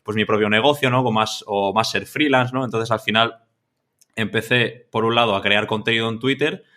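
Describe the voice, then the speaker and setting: A man from Mallorca speaks with an accent from Spain as a podcast guest. His voice is slightly low yet bright, with little melodic movement. He talks casually and ramblingly, doubling back on words and adding tag questions. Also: fairly even intonation, brisk. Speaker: podcast guest, freelance content creator. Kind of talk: casual conversational interview answers.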